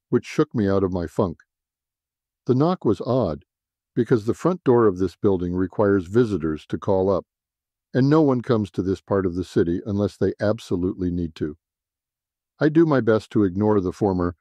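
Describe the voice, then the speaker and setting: A male narrator reads a scripted first-person detective story. His voice is fairly low and somewhat mellow. The recording is very dry and close, with little sense of a room. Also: no echo.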